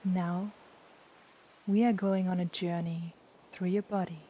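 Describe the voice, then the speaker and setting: Very slow, soft-spoken woman guiding meditation. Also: on the low side. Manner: soft, soothing, unhurried with long pauses. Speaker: yoga nidra meditation guide. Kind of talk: guided relaxation meditation. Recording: steady background noise, ocean waves. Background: sound of the ocean.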